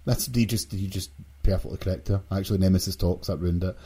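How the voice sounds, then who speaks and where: A man's voice: low voice, fairly even intonation, fast, background noise. A man hosting a casual, jokey podcast discussion among friends. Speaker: podcast host.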